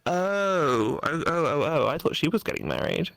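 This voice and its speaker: A woman's voice: deep voice, big pitch swings, moderate pace, gravelly, a bit bright, no room sound. A woman giving casual, blunt and sarcastic co-commentary on a let's play, swearing freely.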